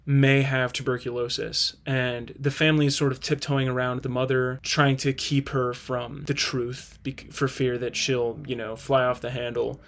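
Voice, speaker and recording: A man hosting a video, giving earnest, casual, conversational commentary. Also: medium pitch. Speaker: host of a play-ranking video.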